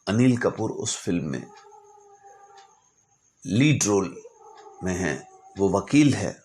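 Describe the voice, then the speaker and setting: A man narrating stories informally as an astrologer, speaking deliberately in short phrases broken by pauses. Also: low voice, big pitch swings, darkish tone. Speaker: astrologer telling client stories.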